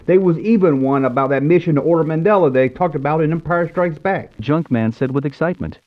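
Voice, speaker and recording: A man's voice: fairly high pitch, a little fast. An American man in his fifties narrating nostalgically in a scripted storybook style. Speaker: YouTube show host. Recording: tinny phone-like narrow-band sound.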